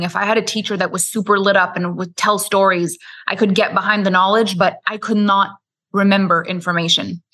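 A woman's voice: medium pitch, bright, forceful tone. A woman from Quebec whose first language is French, a podcast guest telling her life story in a candid, flowing way. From Quebec, Canada. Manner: candid, flowing storytelling with frequent "like" and "you know". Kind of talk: storytelling interview.

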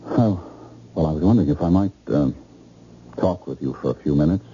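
An earnest, haunted man in an old radio drama, speaking in a low, dark voice. He is hesitant and stammering but intense. The recording is muffled with light noise. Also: a little fast. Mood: hesitant, fixated. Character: obsessed, earnest, haunted man fixated on a dream.

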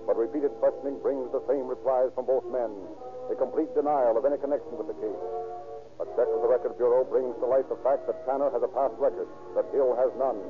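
A man giving formal, measured, portentous scripted narration in the manner of 1930s radio drama. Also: medium pitch, steady tone. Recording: tinny old radio recording with music.

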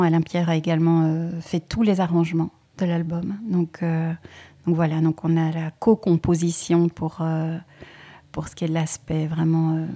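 A woman singer talks through her work in a relaxed interview. Her voice is low and mellow, and she speaks in a thoughtful, hesitant way, often pausing with small fillers as she looks for the right words. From Belgium. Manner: thoughtful, hesitant, many pauses and "euh".

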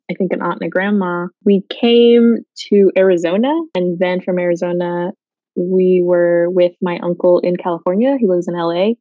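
A woman's voice: fairly low pitch, dry recording. Chatty young woman, muffled sound. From Guatemala. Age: young adult. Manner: chatty, many fillers, laughs often. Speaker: interviewee. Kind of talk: casual interview.

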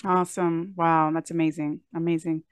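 A woman hosting an interview, asking questions and encouraging her guest with quick reactions and easy laughter. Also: low voice, level tone, clear, resonant tone, no echo, studio quality. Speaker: podcast host, interviewer.

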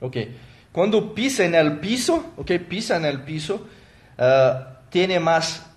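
A man with a slightly higher voice, whose first language is Portuguese, hosts a live stream as a motivational coach. His delivery is halting, full of fillers, restarts and small self-corrections, and he thanks viewers by name as they comment. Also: big pitch swings.

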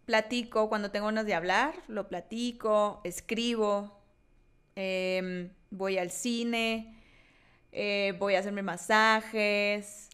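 A woman's voice: mid-range voice, fairly even intonation, takes it slowly, fairly bright tone, smooth. A young woman with a Sonoran Mexican accent, co-hosting a podcast in casual chat, who often repeats and restarts her words. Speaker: podcast co-host.

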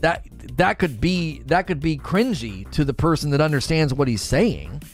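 A man's voice: fairly high pitch, lively intonation, normal speed, soft background music. A man hosting a casual livestream talk show, chatting and answering viewers with rambling enthusiasm and frequent repeats. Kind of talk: casual livestream chat reading viewer comments.

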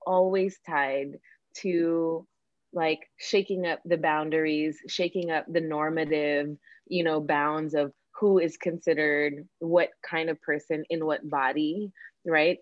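Woman, Tagalog speaker. Low voice, informal and self-deprecating reflective chat, slightly lo-fi sound. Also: dry recording. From born in the Philippines, moved to the United States at ten. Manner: informal, self-deprecating, checks in with "you know". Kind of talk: casual, reflective discussion. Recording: slightly dull, lo-fi audio.

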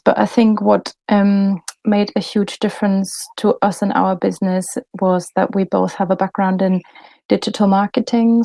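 Gravelly, mellow woman. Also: mid-range voice, no room sound. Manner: thoughtful, many fillers and restarts. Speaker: podcast guest, bakery business co-founder. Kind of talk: casual interview conversation.

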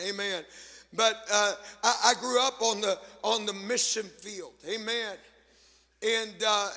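High, bright-voiced shouting male preacher. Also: in a large hall. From Latin America. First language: Spanish. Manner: shouting, sing-song preaching with call-outs to the congregation. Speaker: pastor. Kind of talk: sermon. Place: church sanctuary.

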